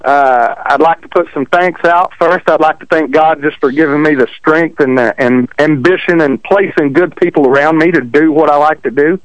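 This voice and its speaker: A man with a Texan country accent tells his stories in an easygoing, self-deprecating way. His voice sits a bit high for a man. It comes through a phone line with a dry, close sound. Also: normal speed, hardly any pauses, dry recording. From Texas. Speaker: drag racer guest. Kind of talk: casual interview chat. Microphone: phone call.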